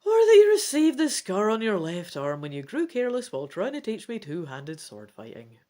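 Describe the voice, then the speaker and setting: A woman voicing an excitable, earnest young hero in a dragon's body, in a dramatic gamebook reading. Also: mid-range voice, moderate pace.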